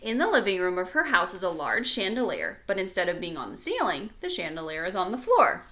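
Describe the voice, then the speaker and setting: A woman's voice: mid-range voice, sing-song, a little fast, sharp tone. A woman teacher reading a children's storybook aloud, with casual explanatory asides addressed directly to young listeners.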